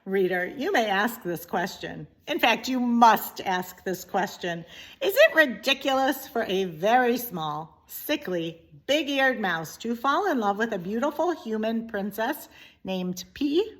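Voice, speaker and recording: A woman narrating a children's story expressively, with deliberate, dramatic emphasis. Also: a little high, expressive. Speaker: story reader, teacher.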